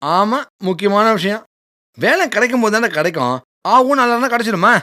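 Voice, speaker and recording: A man's voice: high-pitched, animated, moderate pace, sharp tone. A man playing a food-loving, jaded young job seeker in a Tamil radio drama, casual and grumbling. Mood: cynical, resigned.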